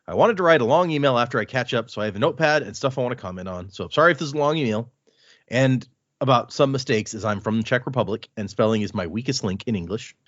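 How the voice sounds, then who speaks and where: Lively male podcast host reading mail. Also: medium pitch, quick pace, dry recording. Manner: reads with lively asides and comments between lines. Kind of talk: reading a listener email aloud on a podcast. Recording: dry, slightly dull lo-fi sound.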